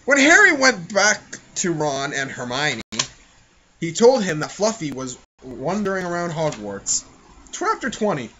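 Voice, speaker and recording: Male podcast host, high voice with big swings, giggly and sweary, reading aloud between casual asides; muffled recording. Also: sing-song, measured pace, pushed and bright, a little hiss or hum. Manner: giggly, self-mocking, foul-mouthed. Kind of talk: reading own childhood fan fiction aloud with casual banter.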